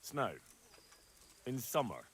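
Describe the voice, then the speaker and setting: Young medieval peasant for a game: mid-pitched, animated, dry sarcasm, impatient, no-nonsense. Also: male voice, takes it slowly, breathy edge, full character voice. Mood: curious. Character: blunt, sceptical young medieval peasant man investigating rumours. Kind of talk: game cutscene dialogue.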